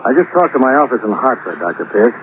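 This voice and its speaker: An actor playing a tough, plainspoken, shrewd investigator in a hardboiled vintage radio drama. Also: male voice, medium pitch, very animated, quick pace, natural voice. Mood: firm, persuasive. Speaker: lead investigator and narrator. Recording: noisy old radio recording, narrow band.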